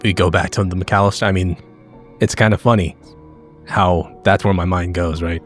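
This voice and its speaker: A man improvising in character in a tabletop game, with a blunt, sweary and halting casual delivery. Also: low-pitched, fairly even intonation. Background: background music.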